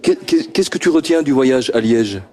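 A man puts friendly questions to a group of children, speaking into a handheld microphone. He keeps stumbling over and repeating his words and breaks into chuckles. His voice sits at an ordinary middle pitch. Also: expressive, measured pace, warm tone. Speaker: interviewer at a homework-help group. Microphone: handheld microphone passed around.

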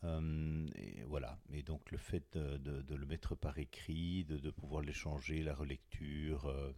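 A man in a writing project, speaking in a round-table discussion in a halting way and often stumbling over his words. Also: a bass voice, steady tone, mellow.

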